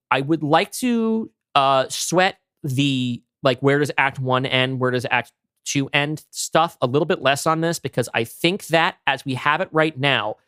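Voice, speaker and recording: A man's voice: a little high, a bit bright, clean tone, no echo, clean studio sound. A man co-hosting a podcast, talking casually and narrating a script he wrote. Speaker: podcast co-host and writer.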